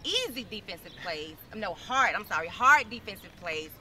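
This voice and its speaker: A woman's voice: mid-range voice, very animated, normal speed, sharp tone, a little hiss or hum. A woman in her thirties hosting an interview outdoors, asking questions in a bubbly way. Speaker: interview host. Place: outdoors in a sunny garden courtyard. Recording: voice a little distant from the mic.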